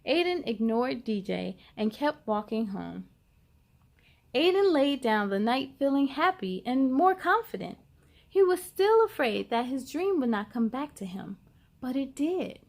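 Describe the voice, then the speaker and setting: Woman, high voice, children's read-aloud host, expressive and encouraging delivery. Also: a little slow, vocal fry. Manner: encouraging, addresses young listeners directly. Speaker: story time host reading a children's picture book aloud.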